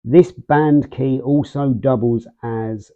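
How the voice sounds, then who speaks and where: A man hosting a casual product unboxing and walkthrough, speaking in short, measured phrases with pauses mid-sentence. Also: medium pitch, steady tone. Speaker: video host, product reviewer. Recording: muffled, phone-like narrow band sound.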